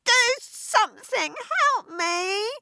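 A woman's voice: very high voice, slow pace, vocal fry, pushed and bright, no echo, voice pushed up, heavily acted. A woman voicing a bitter teenage girl trapped inside someone's head, who whines, sneers and breaks into sobs. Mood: self-pitying. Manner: whining, sneering, sobbing outbursts. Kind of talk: audiobook character voice.

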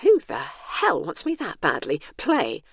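A woman's voice: sits low, huge pitch swings, unhurried, gravelly, husky, no echo, studio quality, exaggerated voice. Woman playing a grumpy, defiant older heroine. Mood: startled annoyance. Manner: indignant, blunt, exclaiming.

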